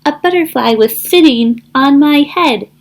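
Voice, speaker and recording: A woman's voice: sits high, animated, measured pace, pitched above the performer's own voice. A woman voicing a cautious, polite male goose in a picture book, grumbling and put-upon. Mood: polite, wary. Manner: honking, muttering complaints.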